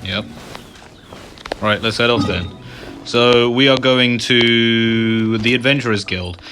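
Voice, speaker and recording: A man's voice: mid-range voice, slow, noisy background. A man giving informal, rambling commentary as the host of a game playthrough. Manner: informal, rambling, often asks "you know". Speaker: gaming video host. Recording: game music underneath.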